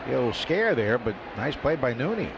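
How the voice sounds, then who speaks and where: A man giving relaxed, chuckling color commentary on a baseball radio broadcast. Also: medium pitch, brisk, gravelly. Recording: muffled radio broadcast with noise.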